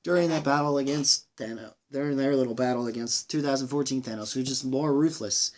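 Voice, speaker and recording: Rambling, enthusiastic man reviewing casually. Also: mid-range voice. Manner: rambling, halting, sweary, enthusiastic. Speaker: film reviewer, channel host. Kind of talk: casual movie review monologue.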